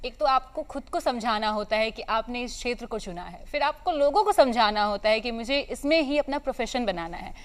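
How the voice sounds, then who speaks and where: A woman's voice: fairly high pitch, expressive, brisk, hardly any pauses. A young woman co-hosting a show and interviewing a guest conversationally. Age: young adult. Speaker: TV show co-host.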